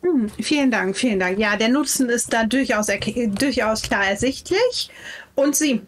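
A woman plays an Imperial official in a sci-fi tabletop game. The voice is fairly high. The manner is formal and polite, with a curt, dismissive edge. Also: expressive, moderate pace, slight background noise, lightly acted. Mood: brisk, polite. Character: brisk, polite Imperial official judging inventors' pitches.

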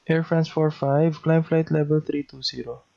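A man's voice: fairly high pitch, flat delivery, normal speed, creaky, a little mellow. A man with a Filipino accent speaking in the clipped, procedural style of an air traffic control demonstration, on a dull lo-fi recording.

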